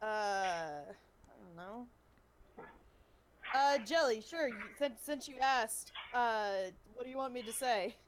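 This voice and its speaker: Girl w high voice, slow n hesitant, guest voice actor chatting, noisy call. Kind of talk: casual chat. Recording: noisy distant-sounding voice call.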